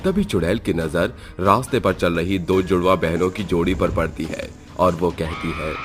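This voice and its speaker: A man's voice: sits low, moderate pace. A man giving dramatic scripted narration of a horror story. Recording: music behind.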